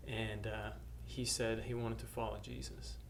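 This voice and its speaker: A middle-aged man from Norway, a missionary, telling his story to camera in a hesitant way, with many pauses and restarts. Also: lowish voice, little pitch movement, creaky. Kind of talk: personal testimony to camera. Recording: faint background noise.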